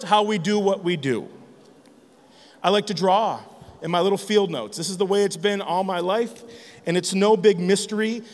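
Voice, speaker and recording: A high-pitched man in his forties from northern Michigan holds forth from a conference stage, cursing freely and teasing a quiet crowd. His voice carries a long reverb tail from the big hall around him. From northern Michigan, United States. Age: 40s. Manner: brash, joking, swears freely, chides the crowd. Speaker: graphic designer giving a conference talk. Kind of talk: loud, profane stage talk with banter to the audience.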